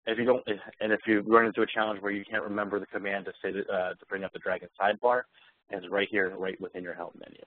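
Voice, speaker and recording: Low-pitched man demonstrating software. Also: little pitch movement, fast, no echo, narrow, tinny audio. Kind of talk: live software demo with spoken voice commands.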